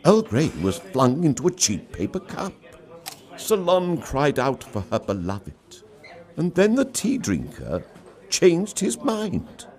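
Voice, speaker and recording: A man reading a story aloud in an expressive, theatrical storybook style. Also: on the high side, slow pace. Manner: theatrical, dramatic delivery. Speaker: story reader.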